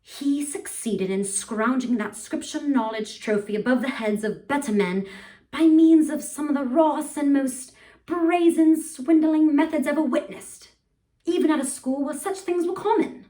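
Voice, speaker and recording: Woman doing a blustering upper-class English gentleman. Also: sits high, normal speed, natural voice. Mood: pompous rambling. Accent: put-on upper-class British. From United States. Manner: rambling, blustering, grandiloquent speechmaking. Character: tipsy, pompous upper-class English gentleman giving a speech. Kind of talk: theatrical monologue performance. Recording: voice from far off the mic.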